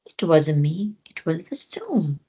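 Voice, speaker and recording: A woman voicing a calm, wise owl explaining in a storybook. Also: low voice, slow pace, husky, dull audio, heavily acted. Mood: knowing.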